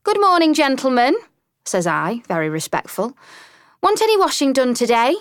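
A woman voicing an excitable, cheeky female mole in a storybook reading, telling tales with glee. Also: high-pitched, normal speed, clean tone, no echo, clean studio sound, pitched above the performer's own voice. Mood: gleeful. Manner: chatty, gleeful storytelling. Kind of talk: audiobook character reading.

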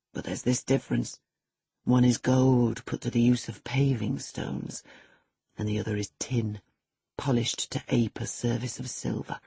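A man's voice: vocal fry, warm tone, slightly rough, no room sound, full character voice. A man voicing a brooding, gloating master. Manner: low, bitter, gloating. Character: brooding scornful middle-aged male master.

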